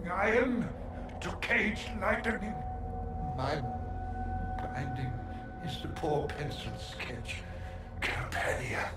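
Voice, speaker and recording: Broken, echoing old mage, painfully slow. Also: male voice, a little high, heavily acted. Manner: halting, archaic, grandiose, repeating words. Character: broken, bound old scholar mage, half-mad male captive. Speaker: voice actor. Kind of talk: theatrical fantasy game acting. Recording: echoing voice under loud music.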